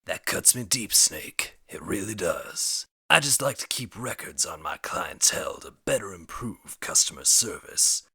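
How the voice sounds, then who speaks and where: A man's voice: low voice, lively intonation, measured pace, hardly any pauses, bright, forceful tone, slightly rough, whispered, exaggerated voice. A man voicing a slick, talkative arms dealer who spins stories with casual amusement in a parody game dub. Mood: mock hurt, casual.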